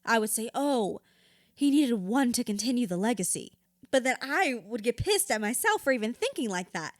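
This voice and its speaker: A woman's voice: on the high side, very animated, normal speed, no echo, clean studio sound. An actress playing a hurt, bitter widow confiding in her therapist in an audio drama, raw, blunt and sweary. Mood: angry, anguished. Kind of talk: audio drama acting, confessional dialogue.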